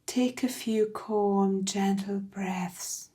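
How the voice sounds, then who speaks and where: A woman guiding a meditation from a script, soothing and hushed, with phrases that trail into breathiness. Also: medium pitch, steady tone, drawn-out words. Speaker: meditation guide. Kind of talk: slow guided meditation, scripted.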